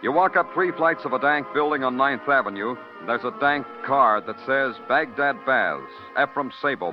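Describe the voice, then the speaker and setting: An American actor playing a wry, hard-boiled police detective in old radio noir style, deadpan and terse. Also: male voice, mid-range voice, lightly acted. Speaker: detective and narrator. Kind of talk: 1950s radio noir acting. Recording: muffled old radio recording with music.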